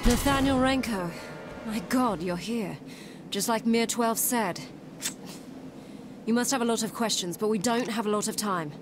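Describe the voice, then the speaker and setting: Woman playing a game resistance fighter, urgent and earnest, highish voice, loud noise all around. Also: normal speed, long pauses, close to an everyday voice. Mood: urgent, amazed. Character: urgent female resistance operative guiding a soldier. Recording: loud action noise behind.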